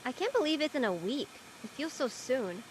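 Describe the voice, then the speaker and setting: A woman voices a high school girl in an audio drama, curious and easily incredulous. Her voice is high, with faint noise around it. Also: very animated, normal speed, rough recording. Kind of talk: live audio drama table read.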